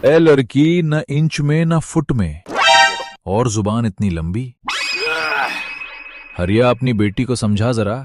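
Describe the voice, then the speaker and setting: A man acts a landlord's rustic servant in a cartoon tale. He speaks slowly and tauntingly, sent to collect debts. Loud music runs behind the voice. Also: medium pitch, natural voice. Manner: slow, taunting, drawn-out. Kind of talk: cartoon story dialogue.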